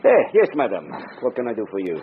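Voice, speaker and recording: Guarded cafe owner, thin radio sound. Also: male voice, mid-range voice, huge pitch swings, fast, narrow, tinny audio. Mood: busy, matter-of-fact. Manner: clipped, hesitant, broken English. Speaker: actor in a radio drama. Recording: thin boxy radio recording with hiss.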